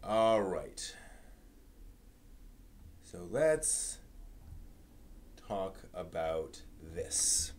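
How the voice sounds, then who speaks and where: A man hosting a casual live stream, talking in a low-key, halting, muttering way. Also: sing-song, darkish tone, slight background noise.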